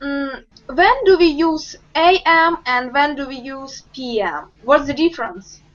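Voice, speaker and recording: A young woman teaching an online English lesson, asking questions slowly and patiently over a webcam video call. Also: sits high, expressive, a little hiss or hum. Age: young adult. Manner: slow, patient, encouraging questions. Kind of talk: online group language lesson. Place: home room with bookshelves. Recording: muffled video call audio.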